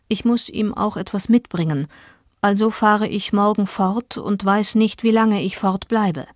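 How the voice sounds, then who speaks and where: A woman playing a dutiful German wife of the 1910s, reading her letter to a friend in a measured, intimate way. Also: mid-range voice, dry recording, studio quality, lightly acted. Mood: wistful.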